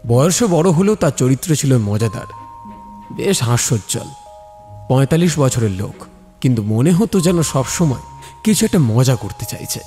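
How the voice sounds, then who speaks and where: A man narrates a detective story in a scripted, dramatic style. His mid-pitched voice is dark and mellow, set in a long reverb with soft music behind it. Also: big pitch swings, moderate pace.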